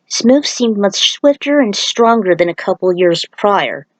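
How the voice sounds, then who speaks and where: A woman's voice: mid-range voice, animated, a little slow, no room sound. A woman reading a scripted story aloud in a dramatized way, with the odd aside. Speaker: fan fiction reader.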